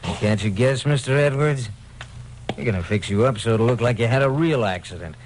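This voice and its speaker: An actor playing a slick, menacing crook in an old-time radio crime drama, coercive and sneering. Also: male voice, mid-range voice, lively intonation, fairly quick, lightly acted. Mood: sneering threat. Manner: smoothly coercive, sneering at his victim. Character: menacing, slick insurance-fraud crook, male. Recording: noisy old radio recording.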